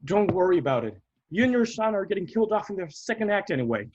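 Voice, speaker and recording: A man playing a steady, sensible, caring theatre troupe member, soothing and mildly scolding in an audio play. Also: high-pitched, fast, highs cut off. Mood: earnest.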